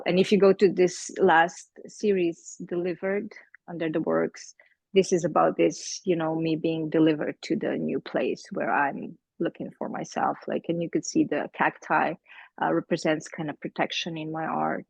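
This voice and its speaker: Gravelly Slovenian-accented woman, hesitant. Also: low-pitched, a little mellow, no room sound, low-quality recording. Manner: hesitant, searching for words, many fillers. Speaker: artist presenting her work to a group. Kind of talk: casual group meeting talk. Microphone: video call.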